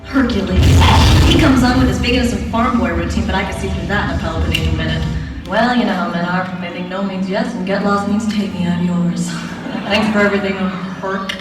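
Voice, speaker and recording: A sassy, cynical young animated heroine, acted by a woman, with a knowing, sardonic delivery. The mid-pitched voice comes from far off in a very echoey hall. Loud music plays behind it. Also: a little fast, close to an everyday voice.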